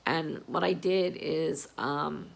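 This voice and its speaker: Slow, gravelly-voiced woman vlogging. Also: low-pitched, steady tone. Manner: slow, rambling, with many fillers. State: froggy, hoarse voice. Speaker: writer vlogging about novel prep. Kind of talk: casual chat to camera. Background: air conditioner humming.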